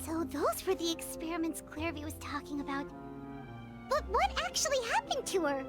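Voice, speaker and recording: A tiny fairy companion pipes up in a very high, bouncing voice, full of eager questions. Game music plays behind her. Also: big pitch swings. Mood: curious. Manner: inquisitive, quick to ask. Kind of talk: game story cutscene dialogue.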